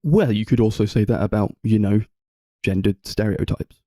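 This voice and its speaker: A man's voice: fairly low pitch, normal speed, dark tone, no room sound, studio quality. A young man in casual film discussion, talking in a hesitant, hedging way as a podcast co-host.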